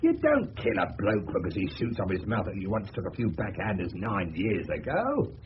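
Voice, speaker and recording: An actor playing a blunt, scornful, slangy working-class suspect in a 1970s British radio drama. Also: male voice, fairly low pitch, wildly swooping pitch, normal speed. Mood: scornful, defiant. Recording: dull, noisy old radio broadcast.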